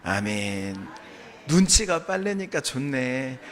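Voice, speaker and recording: A man preaching a sermon as a pastor, his voice a little hoarse from a sore throat, earnestly asking the congregation questions and answering them. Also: mid-range voice, big pitch swings, slow, a bit bright. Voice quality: slightly strained, hoarse voice. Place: church sanctuary.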